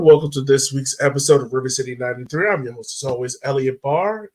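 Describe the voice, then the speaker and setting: Excitable man with a higher-pitched voice. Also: low-quality recording. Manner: excitable, slangy. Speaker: podcast host. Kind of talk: casual sports podcast chat.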